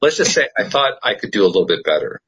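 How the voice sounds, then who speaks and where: A man with a very low, slightly husky voice, hosting a casual podcast chat. His pitch swings widely as he rambles along with self-deprecating asides, and he often stumbles over repeated words. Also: very animated, fast talker, fairly bright tone. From United States. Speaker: podcast host.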